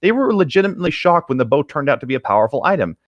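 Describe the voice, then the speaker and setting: A man's voice: fairly high pitch, big pitch swings, very fast, no room sound, muffled recording. A man casually reading a tabletop gaming story aloud as a host, in an informal, slightly exasperated way.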